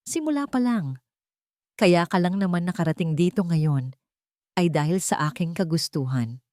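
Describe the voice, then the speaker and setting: A woman voicing a cold, wealthy matriarch who speaks softly with menacing, controlled authority in an audio drama. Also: lowish voice, animated, normal speed, dry recording, studio quality, natural voice. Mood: cold, threatening. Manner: soft-spoken, controlled, commanding.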